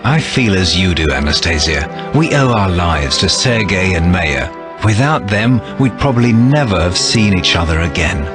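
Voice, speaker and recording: A man's voice: deep voice, expressive, moderate pace. A man playing an earnest, resolute young hero, the lost heir, in a dramatic game cutscene. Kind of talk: video game cutscene acting. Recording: music behind the voice.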